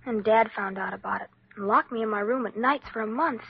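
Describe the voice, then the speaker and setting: Casting: hurt, hopeless teen girl, higher husky voice, halting delivery, vintage radio drama sound. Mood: tearful, hurt. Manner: halting, pleading. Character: miserable, ashamed teenage runaway girl, hurt and hopeless. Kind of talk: old-time radio drama acting. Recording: dull vintage radio recording.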